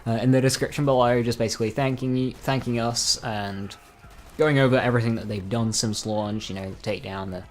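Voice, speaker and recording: A man's voice: mid-range voice, steady tone, fairly quick, a little hiss or hum. A man talking casually through game news as a video creator, informal and dropping dry, joking asides. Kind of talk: casual gaming news commentary.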